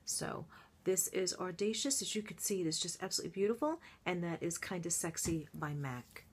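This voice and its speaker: A woman's voice: on the low side, fairly quick, a little hiss or hum. A middle-aged woman vlogger, congested, chatting casually through her favorite beauty products with lots of gushing and little ums. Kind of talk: casual favorites review to camera.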